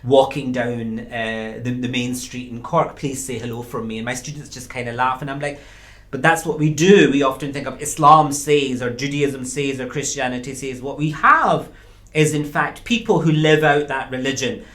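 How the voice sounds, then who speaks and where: A man, a university lecturer as interview guest, speaking in a thoughtful, measured way with pauses. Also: mid-range voice, fairly bright tone. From Scotland. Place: small studio.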